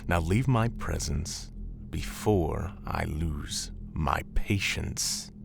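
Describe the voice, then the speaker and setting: A man's voice: rumbling low, very slow, gravelly, over music, heavily acted. A man playing an arrogant demon prince in a roleplay drama, dry, haughty and sardonic. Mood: haughty, irritated. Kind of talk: Minecraft roleplay voice acting.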